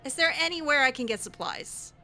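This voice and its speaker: A woman's voice: high voice, animated, fairly quick, sharp tone, faint music behind. A woman hosting a role-play gaming video, commenting casually in character with an exasperated, sardonic manner.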